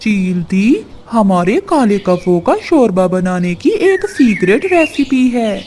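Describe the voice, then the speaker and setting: A woman's voice: medium pitch, very animated, slow, warm tone, a little hiss or hum. A woman voicing a crafty male crow, an earnest, wheedling schemer in a children's cartoon. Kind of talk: children's cartoon story dialogue.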